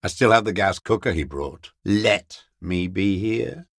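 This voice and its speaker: Game role: aged revolutionary holdout, deep-voiced, very slow delivery, scornful, weary and unrepentant. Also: male voice, lively intonation, creaky, no room sound, studio quality, heavily acted. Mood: bitter recounting. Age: older. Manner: contemptuous, ideological, slow and weary. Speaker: video game character actor. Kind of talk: dramatic game voice acting, bitter monologue.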